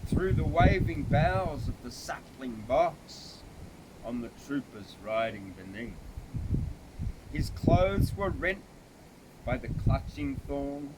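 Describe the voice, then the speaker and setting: A man reciting a bush ballad in a theatrical, measured way with emphatic phrasing. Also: animated. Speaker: poetry reader. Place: outdoors at a cave site. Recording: very noisy, clicks, vehicle-like rumble.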